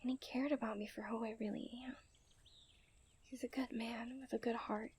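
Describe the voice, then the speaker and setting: A young woman playing a coy, refined and tender princess in a romantic ASMR roleplay, speaking in playful storybook diction that often softens to a near-whisper. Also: a little high, steady tone, normal speed, slight background noise, lightly acted. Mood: tender, earnest. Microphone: close studio-style microphone.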